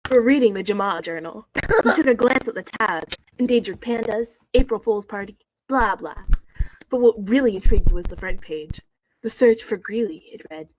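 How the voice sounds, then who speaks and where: A woman's voice: medium pitch, expressive, moderate pace. A woman chatting casually and reading fanfiction aloud, with a playful, giggly, joking manner. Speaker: co-reader on a call. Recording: noisy online call with clicks.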